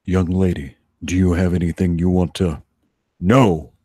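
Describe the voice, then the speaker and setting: A man playing a stern, unflappable vigilante hero in an amateur comic-book dramatization, delivered in a slow deadpan drawl. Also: low-pitched, noisy background. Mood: deadpan, lecturing.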